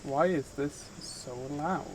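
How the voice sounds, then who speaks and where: A man's voice: a little high, slow, noisy background. A man live streaming, reading visual novel narration aloud and chatting casually with viewers in between. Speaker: streamer, VTuber host.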